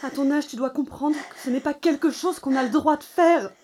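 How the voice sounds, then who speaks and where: A high-pitched woman's voice as an anxious mother acted by a woman in an audio fiction. She is loving but scolding, played naturally, with clear noise behind her. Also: moderate pace, dark tone, close to an everyday voice. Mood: panicked, scolding.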